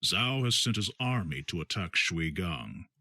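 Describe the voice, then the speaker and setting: A man's voice: sits low, very animated, a little slow, pushed and bright, dry recording, full character voice. An actor playing a grave, formal male ruler in a video game.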